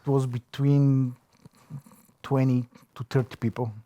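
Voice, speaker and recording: A man with a Bulgarian accent, reflecting in an interview, pausing often to search for his words. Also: mid-range voice, little pitch movement, dark tone, dull audio. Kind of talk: reflective oral history interview.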